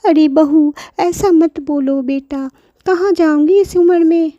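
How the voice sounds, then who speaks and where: A tearful old grandmother begs her family in a high, strained voice, played by a woman storyteller. She sounds helpless and imploring, with a mellow, dark tone. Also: steady tone, slow pace, no echo, highs cut off. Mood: pleading. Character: elderly grandmother, pleading and tearful.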